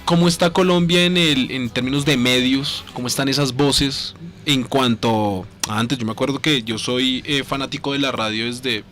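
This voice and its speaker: A man with a medium-pitched voice hosts a casual radio conversation. He sounds like a keen fan as he chats, with music running underneath. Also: steady tone, moderate pace, fairly bright tone. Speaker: radio show co-host. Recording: music behind the talk.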